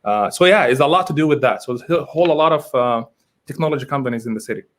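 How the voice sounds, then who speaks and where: A man's voice: medium pitch, fast, a bit bright. A man from Egypt, a real estate agent, talking as a guest in a live interview, rambling and correcting himself with enthusiasm over a video call.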